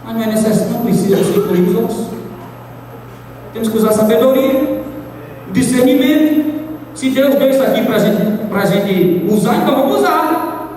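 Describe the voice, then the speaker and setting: A man preaching a sermon, swinging from loud high outbursts to low asides. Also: expressive, moderate pace, long pauses, slight background noise. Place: large church hall. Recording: echoey hall from far off.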